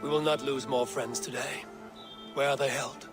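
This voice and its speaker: An actor playing a measured, strategic Viking war leader in a video game, solemn and deliberate in archaic phrasing. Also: male voice, medium pitch, steady tone, close to an everyday voice. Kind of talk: dramatic game cutscene acting. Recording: music behind the voice.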